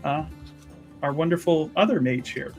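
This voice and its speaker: Male tabletop player, high voice for a man, casual rambling chat, faint music underneath. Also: normal speed, a little mellow. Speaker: player in a tabletop roleplaying show.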